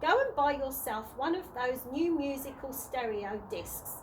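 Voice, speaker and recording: A woman's voice: high voice, expressive, measured pace, slight background noise, rough recording. A woman voicing a posh, polite old male tramp in a children's storybook read-aloud. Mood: polite, kindly.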